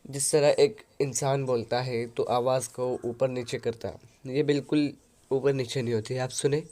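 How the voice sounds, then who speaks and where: A man hosting a software tutorial, explaining an audio editor step by step into a headset mic. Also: mid-range voice, steady tone, normal speed. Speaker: tutorial host.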